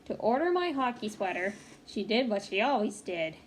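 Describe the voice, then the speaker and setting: A woman reading a children's picture book aloud in an expressive, engaged way, with small asides to her listeners. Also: medium pitch. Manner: expressive, pausing to comment to young listeners. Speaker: read-aloud storyteller. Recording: clearly noisy home recording.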